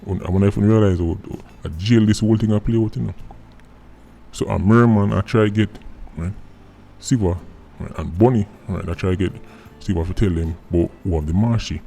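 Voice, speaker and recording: Very deep, dark-voiced Jamaican man. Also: a little fast, creaky, slightly rough. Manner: emphatic, keeps checking with "right". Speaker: vlog host and commentator. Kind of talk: explanatory commentary in Patois-mixed English. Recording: music under the voice.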